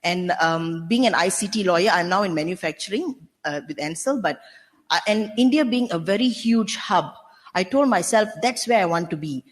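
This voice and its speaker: A woman's voice: fairly low pitch, expressive, moderate pace, in a large hall. A middle-aged woman from Malaysia speaking candidly and emphatically on a conference panel, with frequent restarts and "you know". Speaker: panelist, senior legal counsel. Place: conference hall.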